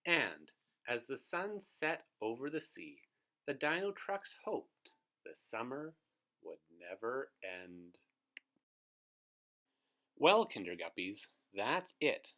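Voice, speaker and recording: A man's voice: mid-range voice, very animated, slow, long pauses, pushed and bright. A man reading a children's storybook aloud as host, expressively, putting questions to his young listeners.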